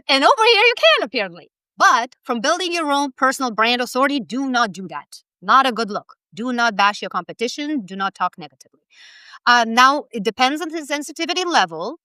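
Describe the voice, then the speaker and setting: A woman's voice: on the high side, bright, forceful tone, dry recording. Lithuanian-accented woman, direct and emphatic. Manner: direct, emphatic, laughs between points. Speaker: personal branding expert guest. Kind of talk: interview advice.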